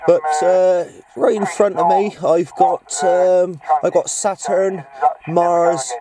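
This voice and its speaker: A man's voice: high voice, fairly even intonation, takes it slowly, over a noisy crowd. A man from Britain talking casually about his radio setup on a hilltop at night, hesitant, with many fillers and restarts. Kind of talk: casual spoken vlog commentary. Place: outdoors on a hilltop beside his car at night. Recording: distant mic with loud background noise.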